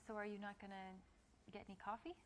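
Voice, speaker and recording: A woman's voice: mid-range voice, flat delivery, fast, lightly acted. An actress playing a friendly young woman chatting casually in a live-action game. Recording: faint venue ambience noise.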